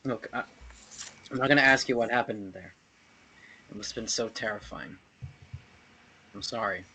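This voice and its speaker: A man playing a gentle, heartbroken partner in a table read of a drama, speaking softly and haltingly. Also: on the low side, slight background noise, poor audio. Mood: apologetic, tender. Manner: halting, soft-spoken. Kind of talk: acted screenplay table read.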